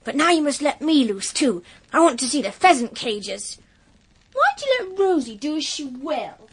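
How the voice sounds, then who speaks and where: A woman's voice: high-pitched, very animated, moderate pace, slight background noise. An actress playing a bold, impatient upper-class teenage English girl in a period audio drama, outspoken and petulant.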